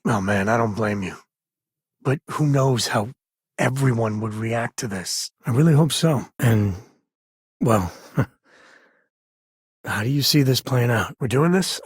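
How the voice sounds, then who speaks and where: An actor playing a wry, warm-hearted middle-aged spacefaring cowboy and ex-ranger in a video game, with a husky voice and a folksy, conversational delivery. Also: male voice, on the low side, dry recording, clean studio sound. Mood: earnest, reflective, then animated. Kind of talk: naturalistic video game character dialogue.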